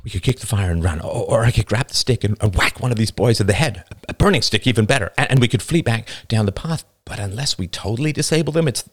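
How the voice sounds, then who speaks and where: A man's voice: on the low side, expressive, quick pace, few pauses. A man voicing the anxious inner thoughts of a frightened young girl, stumbling over words as her mind races. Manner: anxious, racing thoughts with stammered restarts.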